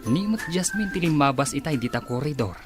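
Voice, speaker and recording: A man's voice: on the high side, animated, a little fast, faint music behind, close to an everyday voice. A man playing a gossipy office coworker in a radio drama, with an Ilocano accent. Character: gossipy office coworker speculating about the boss.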